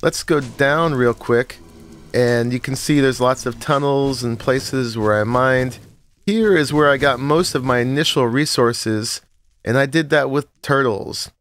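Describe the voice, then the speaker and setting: A man with an ordinary middle-pitched voice, still a little froggy from the morning, talks a casual game tour. He rambles, fills gaps with "uh" and laughs now and then. The sound is dry and close, with no room around it. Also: no echo. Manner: relaxed, rambling, with frequent "uh" and short laughs. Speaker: Minecraft let's-play host. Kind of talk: casual gameplay tour narration.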